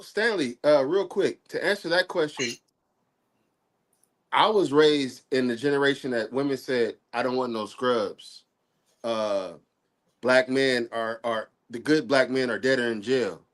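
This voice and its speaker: A man in his forties, a bit sick and nasal, talking in a relaxed, slangy way on a live stream chat. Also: medium pitch, unhurried. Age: 40s. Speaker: guest on a live stream talk. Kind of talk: casual chat.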